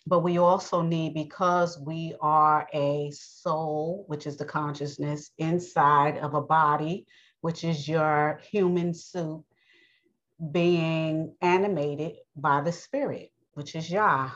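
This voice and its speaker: A woman with a New York accent, host of her own podcast, giving an earnest, unhurried spoken sermon with many pauses. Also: sits low, fairly bright tone, muffled recording.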